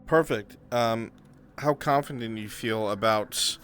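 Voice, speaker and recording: A man working as game master, narrating a live fantasy roleplay in a deliberate manner. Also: fairly low pitch, fairly bright tone, soft background music.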